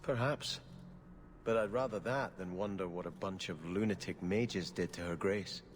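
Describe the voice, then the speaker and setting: An actor playing an earnest, pious young prince in exile who speaks solemnly and formally in a video game. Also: male voice, low-pitched, normal speed, a little hiss or hum, lightly acted. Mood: resolved, sincere. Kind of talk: dramatic video game dialogue.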